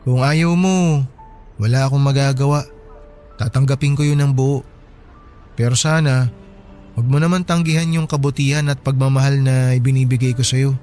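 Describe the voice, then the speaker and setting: A man's voice: mid-range voice, fairly even intonation, moderate pace, long pauses, soft background music, close to an everyday voice. A man with a Filipino accent playing an earnest, wounded young half-brother who works as a porter in a radio drama. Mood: resigned. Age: young adult. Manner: pleading, gentle. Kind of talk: dramatized radio love story.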